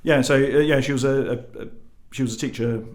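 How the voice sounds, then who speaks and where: A man's voice: mid-range voice, steady tone, a little fast, a little mellow. A man from Lebanon who grew up in the UK, giving conversational answers as a charity leader being interviewed. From born in Lebanon, grew up in the UK. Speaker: charity chief executive, interview guest.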